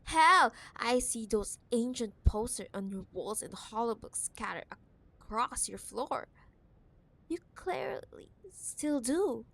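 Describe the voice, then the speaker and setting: A woman playing a possessive, condescending wealthy CEO ex-girlfriend in an intimate cyberpunk audio roleplay, teasing and scornful with drawn-out emphasis. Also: a little high, animated, vocal fry, a bit bright, full character voice. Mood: exasperated, scornful. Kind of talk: ASMR audio roleplay, intimate dramatic monologue.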